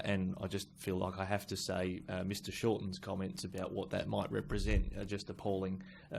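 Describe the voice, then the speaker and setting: A man's voice: low voice, gravelly, a little mellow. A man speaking as a political panellist at a live public debate, into an event microphone. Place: public meeting room. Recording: noisy live room.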